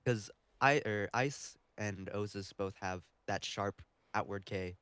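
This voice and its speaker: A man's voice: lowish voice, slow pace, a bit bright, smooth, dry recording. A man speaking tentatively and casually as a guest on a podcast. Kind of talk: casual chat.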